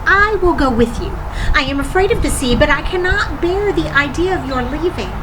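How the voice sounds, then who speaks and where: A woman's voice: high-pitched, animated, normal speed, background noise, voice pushed up. A woman voicing an anxious, earnest young island girl in a storybook reading, pleading and devoted to her friends. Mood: determined.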